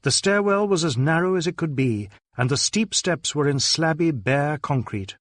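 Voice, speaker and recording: A man narrates a detective story in a dramatic, scripted reading style. His voice sits a little higher than most men's. The sound is very dry, with no room around it. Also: expressive, normal speed, no room sound. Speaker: audiobook narrator.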